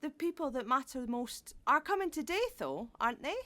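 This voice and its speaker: A woman's voice: high-pitched, big pitch swings, a little fast, sharp tone, full character voice. Swooping, motherly, fussing housekeeper. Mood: concerned, kindly. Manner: bustling, colloquial, exclamatory. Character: fussing, motherly, chatty middle-aged housekeeper. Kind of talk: gently comedic audio drama acting.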